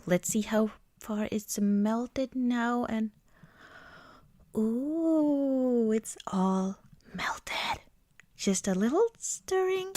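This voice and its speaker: A woman doing a soft-spoken ASMR girlfriend roleplay, gentle and often slipping toward a whisper, close to the microphone. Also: on the high side. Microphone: close microphone, dry sound.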